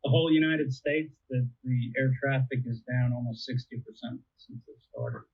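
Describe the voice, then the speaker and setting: A man's voice: mid-range voice, level tone, normal speed, husky. A man being interviewed, explaining things in a casual, conversational way. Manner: conversational, explains with everyday analogies. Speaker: interviewee explaining air traffic control. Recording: tinny narrowband phone-like line.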